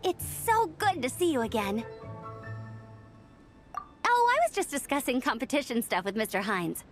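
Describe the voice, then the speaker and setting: Young female knight: chatty, eager, soft music behind. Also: high voice, sing-song, normal speed. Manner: chatty and upbeat. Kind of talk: game voice acting.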